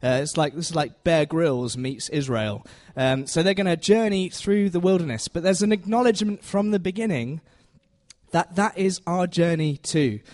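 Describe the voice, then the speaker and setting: A man's voice: a little high, normal speed, a little mellow. A man giving an informal, conversational teaching sermon, self-deprecating and often checking in with his listeners. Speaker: preacher giving a Bible talk to a congregation.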